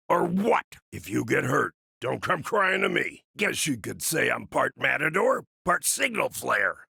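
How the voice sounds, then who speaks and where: Gravelly, folksy old cyborg gunslinger. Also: male voice, mid-range voice, bright, forceful tone, no room sound, studio quality, heavily acted. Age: older. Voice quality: gravelly, raspy. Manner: folksy, wry, cocky drawl. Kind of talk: video game character voice lines.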